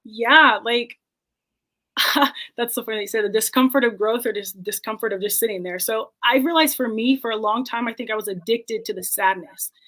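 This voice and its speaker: A woman's voice: on the high side, normal speed, bright, forceful tone. A woman in her twenties, a podcast guest, talking candidly and reflectively in casual conversation. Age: 20s. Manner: candid and reflective, quotes her own past thoughts.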